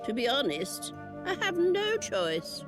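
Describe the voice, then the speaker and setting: A woman's voice: high-pitched, wildly swooping pitch, exaggerated voice. A woman voicing the lonely, wry ghost of a witch burned at the stake, in a game cutscene. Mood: wistful. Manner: slow, drawling, sly asides. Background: game music.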